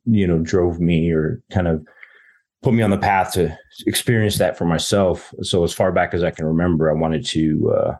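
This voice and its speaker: Man, very low voice, from southern New Mexico, earnest, lots of fillers, interview guest. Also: fairly even intonation, moderate pace, a little mellow. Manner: earnest, many fillers and restarts. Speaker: podcast guest, veteran. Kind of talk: conversational interview.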